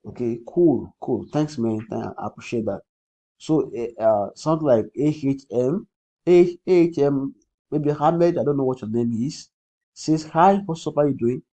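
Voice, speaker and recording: A man with a Nigerian accent, a native Yoruba speaker, hosting a casual crypto livestream in a husky voice, repeating phrases and often checking in with "okay". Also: mid-range voice, a little slow, warm tone, dull audio. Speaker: crypto trading livestream host. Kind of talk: casual livestream commentary, answering comments.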